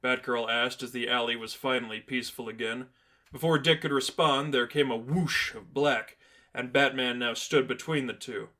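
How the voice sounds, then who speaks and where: A man's voice: medium pitch, moderate pace, bright, forceful tone. A man reading a scripted piece of fan fiction as a storyteller.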